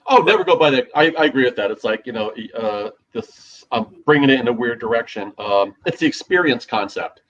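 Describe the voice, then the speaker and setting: A man co-hosting a casual podcast as a DJ, rambling with lots of fillers and restarts, his voice a little gravelly. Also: medium pitch, expressive, a little fast, hardly any pauses, a bit bright, dull audio, slight background noise. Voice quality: slightly gravelly. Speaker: podcast co-host, DJ. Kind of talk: casual chat.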